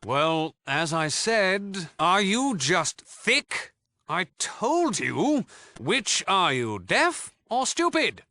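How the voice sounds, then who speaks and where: An actor playing a suave, sardonic British leader of a thieves' guild in a video game, with a flippant, haughty and theatrical way of talking. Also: male voice, sits high, sing-song, drawn-out words, bright, forceful tone, no room sound, full character voice. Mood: irritated, scornful. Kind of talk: video game character dialogue.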